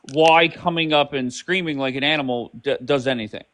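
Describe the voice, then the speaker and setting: A man's voice: mid-range voice, fairly quick, fairly bright tone, dry recording. A man hosting a live panel casually, with a blunt, interrupting way of talking. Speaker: live stream host.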